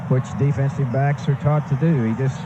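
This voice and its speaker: A man's voice: medium pitch, fairly even intonation, normal speed. American male football analyst on muffled radio. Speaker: color commentator, football coach. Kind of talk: conversational sports radio analysis. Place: stadium broadcast booth. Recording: dull, noisy archived radio broadcast.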